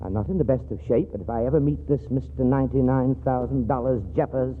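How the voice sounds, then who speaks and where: A man acting as a prim, stuffy young millionaire in a 1940s radio comedy, formal and earnest in his fussy phrasing. His mid-pitched voice comes through a thin old broadcast with light hiss. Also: fairly even intonation, quick pace, lightly acted. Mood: indignant. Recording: hissy, narrow-band old radio broadcast.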